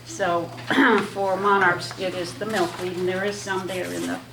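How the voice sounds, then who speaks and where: A soft-spoken woman giving a casual talk to a women's group as guest speaker, halting with many fillers. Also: on the low side, fairly even intonation. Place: echoey hall with audience. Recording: echoey hall, distant, background noise.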